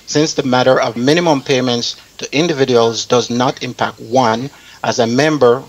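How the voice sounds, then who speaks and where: Casting: male radio host, mid-range voice, deliberate and slightly halting reader. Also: mid-range voice, steady tone, poor audio. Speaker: talk show host. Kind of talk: reading an article aloud, panel chat.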